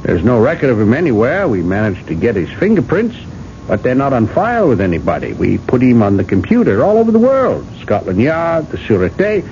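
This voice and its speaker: An actor playing an earnest ex-police investigator in a radio drama, reporting matter-of-factly and deferentially. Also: male voice, medium pitch, huge pitch swings, a little fast, darkish tone. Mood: dutiful, serious. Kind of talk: 1980s radio drama acting. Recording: dull, hissy old radio recording.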